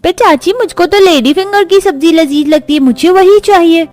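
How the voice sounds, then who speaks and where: A woman's voice: very high voice, normal speed, a little mellow. A woman voicing a hungry, demanding young relative in a cartoon moral story. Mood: eager, demanding.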